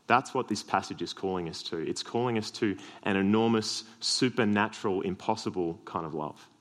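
Australian male preacher, deep low voice, earnest sermon delivery lightened by self-deprecating jokes. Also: moderate pace, fairly bright tone.

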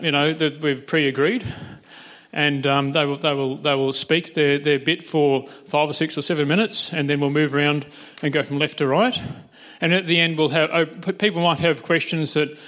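A man with a somewhat high voice runs a church panel. He explains things loosely, starting sentences over several times before he gets them out. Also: sharp tone. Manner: rambling, restarting sentences. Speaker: panel moderator.

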